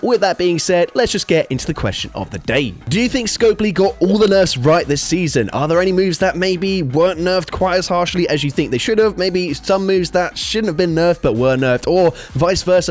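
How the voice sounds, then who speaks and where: A young man with a sore throat giving brisk play-by-play commentary on his own online game battles. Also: on the high side. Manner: brisk play-by-play with frequent fillers. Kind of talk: gameplay commentary.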